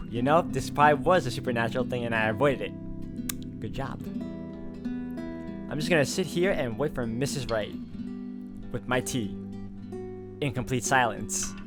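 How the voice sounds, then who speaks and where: A man's voice: mid-range voice, normal speed, long pauses. Man reading a visual novel aloud. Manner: thinks aloud with hesitations and asides. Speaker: game streamer reading the story aloud. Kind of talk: narrating and commenting on a visual novel. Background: loud game music.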